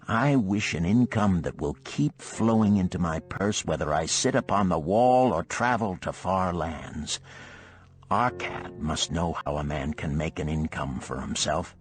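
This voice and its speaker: A man's voice: sits low, a little slow. A man voicing a weary, thoughtful chariot builder of ancient Babylon in a storybook parable, with brooding, archaic phrasing. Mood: wishful.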